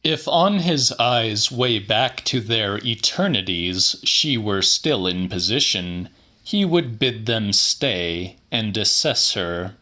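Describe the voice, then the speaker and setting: Deliberate man reading with very lively pitch. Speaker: audiobook reader. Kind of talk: slightly dramatic literary audiobook narration.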